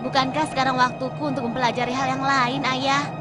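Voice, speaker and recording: Seorang pria memerankan anak gembala muda yang polos, sopan tetapi kritis dan suka bertanya dalam kartun religi anak. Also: male voice, very high voice, flat delivery, quick pace. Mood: earnest, cheeky. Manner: polite but pointedly questioning. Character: young shepherd son, earnest, innocently cheeky, questioning boy. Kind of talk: dubbed children's religious cartoon acting. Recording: loud music behind the voice.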